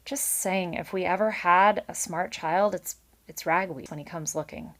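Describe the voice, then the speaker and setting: A woman voicing a steady, reassuring father mouse in a storybook, plain-spoken and firm. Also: fairly low pitch, normal speed, natural voice.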